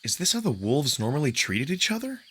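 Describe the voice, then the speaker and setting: A man's voice: fairly high pitch, sing-song, brisk, sharp tone, breathy edge. A man reading first-person visual novel narration as a scripted storyteller. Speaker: narrator and voice performer.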